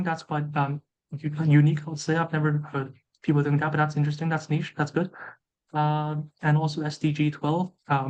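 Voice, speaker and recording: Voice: mid-range voice, darkish tone, dull audio. A webinar host from Hong Kong introducing a guest and reading out the chat, hesitant, with many fillers.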